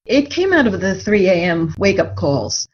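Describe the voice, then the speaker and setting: A woman's voice: medium pitch, big pitch swings, moderate pace, lo-fi sound. A woman guest telling personal stories in a reflective, conversational way, animatedly acting out remembered dialogue. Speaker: guest, author and radio drama writer. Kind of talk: reflective conversational interview storytelling.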